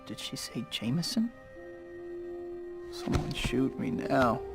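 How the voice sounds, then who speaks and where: A man's voice: mid-range voice, big pitch swings, takes it slowly, creaky, over music, full character voice. A young man playing an earnest, slightly awkward, wisecracking superhero in a video game, speaking casually. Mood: exasperated. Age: young adult.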